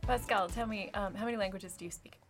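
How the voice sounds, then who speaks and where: A woman's voice: medium pitch, fast, loud music behind the voice. A woman hosting a casual chat and putting informal questions to the others.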